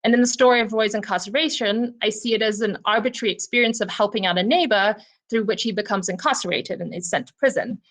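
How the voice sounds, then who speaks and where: A woman from Zimbabwe speaks over a video call as a book club co-presenter. She reflects thoughtfully and personally on a novel, often pausing with ums and you knows. Her pitch sits in an ordinary middle range. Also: a bit bright. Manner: reflective, personal, frequent "um" and "you know" fillers. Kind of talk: virtual book discussion talk.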